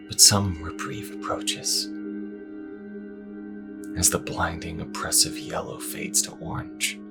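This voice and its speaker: A man voicing an ominous, solemn storyteller, speaking in a slow, breathy half-whisper as dramatic narration. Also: low voice, level tone, loud music behind the voice. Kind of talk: scripted second-person dramatic narration.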